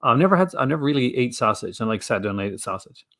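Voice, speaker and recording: Brief: a man co-hosting a casual live-stream chat, mid pitch, rambling, laughs a lot. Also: sing-song, pushed and bright. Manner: rambling and laughing often, breaks into quick character voices. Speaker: co-host. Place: home setup for a live stream. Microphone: desk mic for a live stream.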